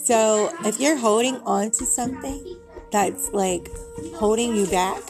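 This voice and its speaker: A young woman with a raspy voice, talking at home in a rambling, giggly, upbeat way about positivity, with music behind her. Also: a little high, measured pace. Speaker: vlogger. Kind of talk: casual motivational talk to camera. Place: home with kids playing.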